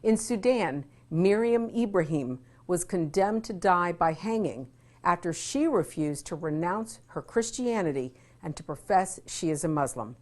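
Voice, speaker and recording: Woman host, scripted serious narration, lowish voice. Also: expressive, a little mellow. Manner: measured, serious news-style reading. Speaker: program host.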